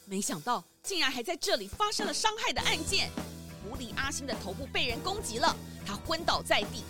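Lively, higher-voiced woman narrator over music. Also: fairly quick. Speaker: story narrator. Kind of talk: scripted children's story narration.

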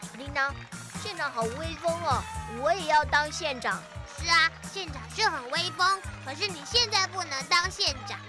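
Excitable high-pitched cartoon child. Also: moderate pace. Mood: curious, explaining. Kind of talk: 1990s children's educational radio drama acting. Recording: old cassette audio drama with loud music. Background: loud children's music.

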